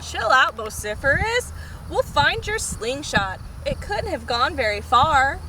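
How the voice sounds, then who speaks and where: A woman's voice: high voice, very animated, measured pace, bright, forceful tone, a little hiss or hum, full character voice, low-quality recording. A woman reading a storybook as an easygoing, disorganized schoolboy telling his own story. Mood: matter-of-fact. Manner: plain storybook read-aloud.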